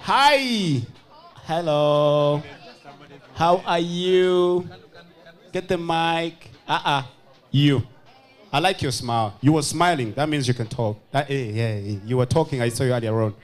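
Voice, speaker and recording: A man's voice: on the high side, a little slow. A man with a Ugandan accent hosting a nightclub launch party, playfully teasing guests with a handheld mic and switching into Luganda. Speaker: event host interviewing guests. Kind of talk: lively party vox pop. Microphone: handheld microphone. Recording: party music and crowd behind.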